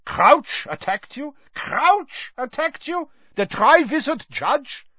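A pompous, livid headmaster in a storybook reading. The voice is pitched well up and swings widely, declaiming with indignant contempt. The sound is slightly dull. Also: male voice, sing-song, takes it slowly, no room sound, exaggerated voice. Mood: alarmed. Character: livid middle-aged foreign headmaster, pompous accuser, bellowing.

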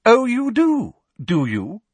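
A man voicing a prim, middle-aged female schoolteacher in a storybook, smooth, icily sweet and patronizing. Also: mid-range voice, big pitch swings, unhurried, dry recording, pitched above the performer's own voice. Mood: stern, affronted.

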